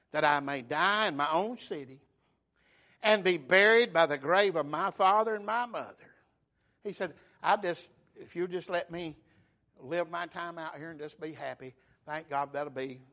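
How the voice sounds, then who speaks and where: A man's voice: high voice, bright, forceful tone. A man preaching a sermon, retelling a scripture story in everyday words and calling out for amen. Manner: calls for amen, dialect grammar, voices the scripture figures.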